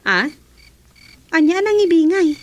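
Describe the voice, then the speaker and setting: An excitable comic sweetheart for a radio serye. The voice is extremely high and swoops widely, drawn out and exclamatory. The tone is playful and theatrical. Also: female voice, unhurried, full character voice. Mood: curious. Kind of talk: radio comedy drama acting.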